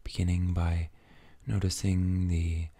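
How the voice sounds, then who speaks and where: A man leading a guided meditation in a soft, soothing, breathy near-whisper, gently and with long pauses between phrases. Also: deep voice, monotone, dry recording.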